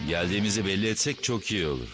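A man's voice: low voice, lively intonation, rapid-fire, music in the background. A man voicing a brave, muscular cartoon hero in a dub, firm, polite and earnest. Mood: resolute. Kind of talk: dubbed cartoon voice acting.

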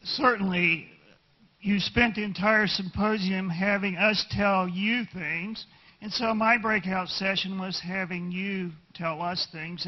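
High-voiced man, brief upbeat panelist. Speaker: session leader. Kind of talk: conference panel remarks. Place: conference hall stage. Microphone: handheld microphone. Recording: slightly muffled hall audio.

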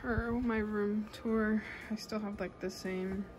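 A young woman talks casually to her vlog camera in a bedroom at home. Her voice sits at an ordinary pitch for a woman, with music clearly playing behind her. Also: fairly even intonation, slow pace, darkish tone. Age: young adult. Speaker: vlogger.

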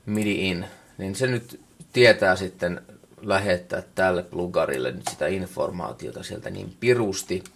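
A Finnish man giving a casual, unscripted software walkthrough in a colloquial way, muttering asides to himself, recorded on a camera microphone indoors. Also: sits low, gravelly. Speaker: music production tutorial maker. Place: indoors at a computer workstation.

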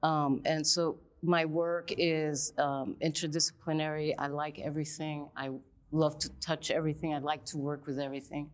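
Middle-aged woman, deep voice, sincere guest speaker, studio panel. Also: flat delivery, moderate pace. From Colombia, raised in the United States. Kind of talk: panel conversation.

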